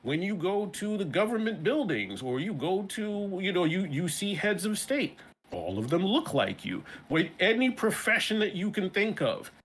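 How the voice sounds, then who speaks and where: Lively American man with a New York accent. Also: sits high, normal speed, few pauses, husky. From Brooklyn, New York. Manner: animated, repeats words, frequent restarts. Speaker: guest. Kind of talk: casual interview chat.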